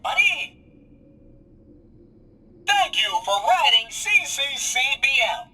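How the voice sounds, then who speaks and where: Bright, high-pitched robot bus driver. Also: male voice, full character voice. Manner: chirpy, slow, sing-song public announcements. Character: cheerful robot bus driver with hydraulic legs. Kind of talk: video game character voice lines.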